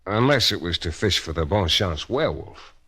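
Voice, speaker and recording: Low-voiced, wry radio private eye. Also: male voice, lively intonation, moderate pace, bright, forceful tone, husky, exaggerated voice. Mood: sardonic. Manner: wry, sardonic, clipped. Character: wry, tough male private detective, world-weary. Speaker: detective and first-person narrator. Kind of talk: hardboiled 1940s radio detective acting and narration.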